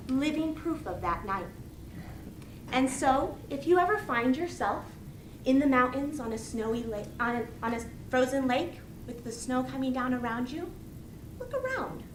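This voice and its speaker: Woman, high voice, public storytelling speech, theatrical pauses, distant mic, faint noise. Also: echoey room. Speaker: speech contestant. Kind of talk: rehearsed storytelling speech to an audience. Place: indoor meeting room.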